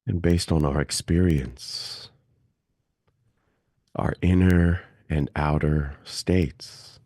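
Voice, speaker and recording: A man with an extremely low, mellow voice guides a meditation. He speaks calmly and gently, leaving long pauses between phrases. The recording is dry and close. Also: dry recording. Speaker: meditation teacher.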